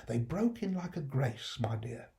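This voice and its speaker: A man's voice: medium pitch, sing-song, unhurried, creaky, dark tone. Man acting a kindly, musing old working-class father. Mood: reflective. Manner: musing, fond, homely dialect. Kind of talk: acted classic storybook reading.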